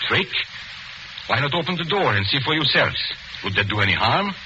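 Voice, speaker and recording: A man playing a calm, commanding foreign illusionist turned sleuth in 1940s radio mystery style, speaking formally and deliberately with authority. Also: mid-range voice. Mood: pressing. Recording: noisy, dull 1940s radio recording.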